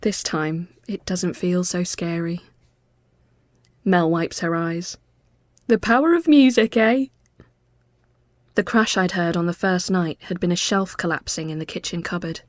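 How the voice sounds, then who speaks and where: A woman reading a literary ghost story as scripted narration, measured and often hushed as phrases end. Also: fairly low pitch, flat delivery, no room sound.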